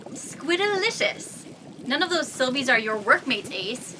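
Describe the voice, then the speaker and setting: High-voiced, flippant ship computer. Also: female voice, big pitch swings, a little slow, bright, forceful tone, a little hiss or hum, full character voice, low-quality recording. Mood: sly, flippant. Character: flippant, food-obsessed female ship computer program. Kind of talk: comedic sci-fi audio drama acting.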